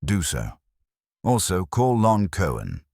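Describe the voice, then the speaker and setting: A man voicing an imperious, disdainful male detective in a detective story, husky, formal and pompous. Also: low-pitched, wildly swooping pitch, slow pace, a little mellow, no echo, clean studio sound, voice pushed up, heavily acted. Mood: commanding.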